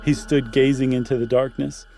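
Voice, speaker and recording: A man's voice: medium pitch, level tone, normal speed, gravelly, warm tone, low-quality recording. A man co-hosting and reading a horror story dramatically, with casual commentary. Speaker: podcast host and story reader. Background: music.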